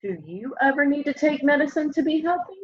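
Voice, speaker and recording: Woman in her thirties, higher-pitched voice, expressive children's read-aloud, simple delivery, lo-fi webcam sound. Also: quick pace, a little hiss or hum. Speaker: storybook reader. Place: room at home, by a window. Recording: slightly dull, lo-fi home webcam sound.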